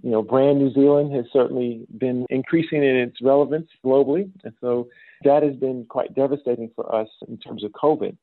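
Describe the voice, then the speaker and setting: A middle-aged man with an American accent answers interview questions in a conversational, explanatory way. His voice has a creaky edge at an average male pitch. The sound is dry and muffled, like a remote call. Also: fairly even intonation, dry recording. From south side of Chicago, United States. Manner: explanatory, measured, often says "you know". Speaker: interview guest, business school dean.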